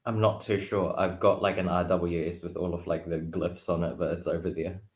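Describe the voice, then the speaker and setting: A man's voice: low-pitched, little pitch movement, fairly quick, darkish tone. A man speaking as a guest tarot reader in casual, laid-back, joking chat with hesitant phrasing.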